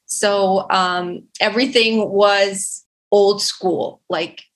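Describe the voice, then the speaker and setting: A woman's voice: mid-range voice, steady tone, very slow. A woman in her forties from Romania, a native Romanian speaker, talking through her background as a podcast guest, thoughtful and full of fillers and restarts. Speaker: guest artist. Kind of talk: podcast interview.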